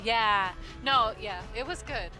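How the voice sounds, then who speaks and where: A woman's voice: on the high side, slow, pushed and bright. A woman bantering casually about a video game as a guest, informal and playfully blunt. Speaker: guest co-commentator. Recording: clear music bed behind the voice. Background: background music.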